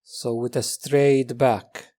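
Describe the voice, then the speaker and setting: A man leading a guided meditation as a teacher, speaking gently and unhurriedly with long pauses between phrases. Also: mid-range voice, steady tone.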